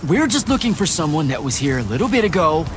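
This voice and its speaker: A man's voice: on the high side, big pitch swings, fast, noisy background. A man playing an earnest, plain-spoken young soldier hero in a video game cutscene, casual and direct. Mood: conciliatory.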